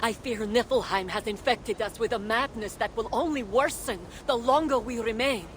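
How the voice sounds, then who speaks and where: An actress playing a devoted, anxious goddess and wife in a video game cutscene, speaking gravely and earnestly, with pleading concern. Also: female voice, normal speed, close to an everyday voice. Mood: worried, pleading. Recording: loud rumbling game background noise.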